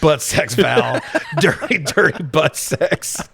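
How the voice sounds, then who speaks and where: A man's voice: a little high, expressive, slow, gravelly, a bit bright, a little hiss or hum. A man co-hosting a podcast, recapping a film in casual comedic chat with sarcastic, heavily profane commentary. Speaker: podcast co-host recapping films.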